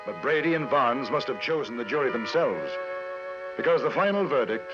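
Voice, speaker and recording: An actor playing an urbane English newspaper correspondent in the Wild West, measured and articulate, in a 1950s radio drama. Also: male voice, medium pitch, big pitch swings, music in the background, close to an everyday voice. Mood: grave, measured. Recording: muffled, band-limited vintage radio broadcast.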